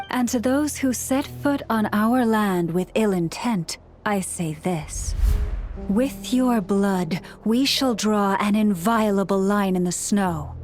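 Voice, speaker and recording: An actress playing a calm, dignified young priestess in a game, speaking in a formal, gracious, measured manner. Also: female voice, mid-range voice, natural voice. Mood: coldly defiant. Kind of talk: game voice acting.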